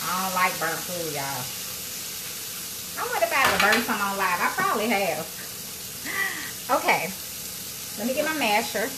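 A playful woman chats with her viewers, calling them y'all as she cooks. She speaks into a phone on a small tripod in her home kitchen. The sizzle of frying grease comes through clearly around her voice. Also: mid-range voice, very animated, moderate pace, long pauses, background noise. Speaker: cooking show host. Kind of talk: casual livestream cooking chat. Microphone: phone on a tripod, close to arm's length.

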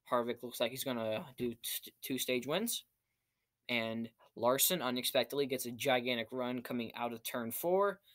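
A man's voice: medium pitch, a bit bright. A young man talking casually in a vlog recap, in short piecemeal phrases, at a desk in a small room. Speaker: amateur race reviewer. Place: small cluttered room indoors.

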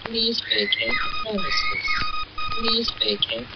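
A man's voice: very high voice, monotone, takes it slowly, vocal fry, full character voice. A man playing an impassive automated lockdown announcer in an audio drama, repetitive and procedural. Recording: dull sound under very loud noise.

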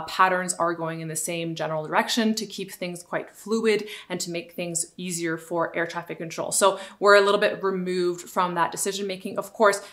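Woman, thirties, slightly low pitch, informal explainer, reassuring delivery, recorded at home. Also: fairly bright tone. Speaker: pilot and video host. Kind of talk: informal explainer to camera, answering viewer questions. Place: living room at home, indoors.

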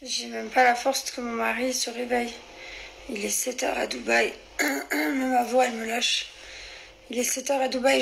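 Chatty woman, fairly high voice. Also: gravelly, fairly bright tone, slight background noise. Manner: chatty, rambling, addresses viewers directly. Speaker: lifestyle influencer. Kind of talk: casual selfie chat to followers. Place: indoors, small wooden cabin room. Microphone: phone held at arm's length.

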